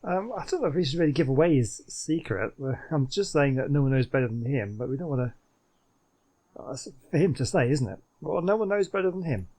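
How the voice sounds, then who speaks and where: A man's voice: fairly high pitch, expressive, brisk, a little mellow. A man hosting a gaming channel, chatting casually over gameplay in a hesitant, rambling way. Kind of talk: casual let's play commentary.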